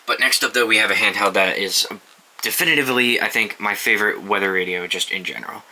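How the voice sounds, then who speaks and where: A man's voice: fairly low pitch, quick pace, bright, forceful tone, poor audio. A young man casually reviewing his collection of weather radios, offhand and swearing now and then, with many ums and restarts. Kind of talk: casual show-and-tell review.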